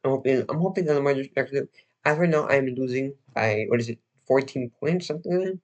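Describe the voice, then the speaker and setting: A man's voice: medium pitch, lo-fi sound. Young man rambling on a livestream. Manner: rambling, halting, with frequent restarts and fillers. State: losing his voice, slightly hoarse. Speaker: livestream host. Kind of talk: casual livestream chat.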